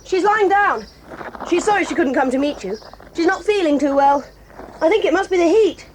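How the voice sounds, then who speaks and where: An actress voicing a proud, quick-tempered tomboy girl in an audio drama, talking in a brisk, bossy and eager way. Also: extremely high pitch, animated, slight background noise, low-quality recording. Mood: excited, lively. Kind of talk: 1980s audio drama acting.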